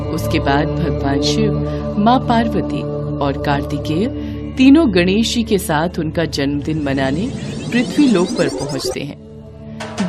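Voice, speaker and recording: A woman narrating a scripted devotional story for children. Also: fairly low pitch.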